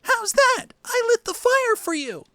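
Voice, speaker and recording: High, eager little fire lizard creature. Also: big pitch swings, unhurried, pushed and bright, faint music behind, full character voice. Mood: eager, boastful.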